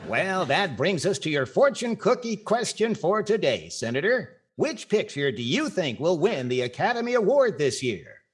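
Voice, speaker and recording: A man's voice: high voice, big pitch swings, moderate pace, hardly any pauses, slightly rough, exaggerated voice. An older man with an American accent acting a wry, wordy, playfully pompous host in 1940s radio comedy style.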